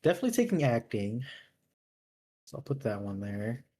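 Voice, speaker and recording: A man with a husky voice chatting casually and hesitantly thinking aloud as a player in a tabletop game. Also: mid-range voice, lively intonation, moderate pace, warm tone. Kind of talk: casual chat while building a character.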